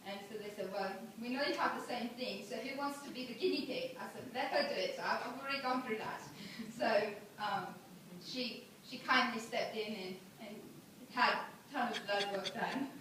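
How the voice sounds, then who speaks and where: A woman's voice: fairly high pitch, normal speed, few pauses, fairly bright tone. A woman in her twenties telling her own story to an audience, earnest and plain, with many fillers. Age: 20s. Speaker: speaker sharing her story. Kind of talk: personal testimony to an audience. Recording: very echoey hall, mic far off, background noise.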